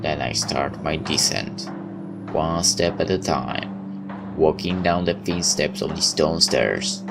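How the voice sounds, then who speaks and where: Male gamer, deep low voice, dramatic story read-aloud, wry asides, occasional stumbles, guitar game music behind. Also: slow pace, gravelly. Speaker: gamer reading a visual novel aloud. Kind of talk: let's play read-aloud with joking asides.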